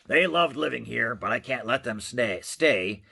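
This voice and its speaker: A man voicing a gruff, croaky, indignant old forest creature who scolds in storybook rhyme. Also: medium pitch, lively intonation, unhurried, sharp tone, pitched below the performer's own voice, heavily acted. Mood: mournful, indignant. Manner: scolding, rhyming storybook speech.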